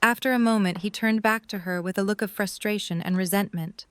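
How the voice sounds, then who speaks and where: A woman's voice: medium pitch, steady tone, a little fast, fairly bright tone, clear, resonant tone, no echo. Dry, close-sounding female story narrator.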